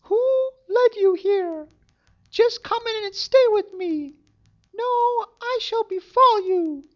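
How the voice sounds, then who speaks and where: A man voicing an ancient witch woman in a shrill, drawn-out falsetto, feigning kindness in a storybook reading. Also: dull audio. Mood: cooing, falsely kind.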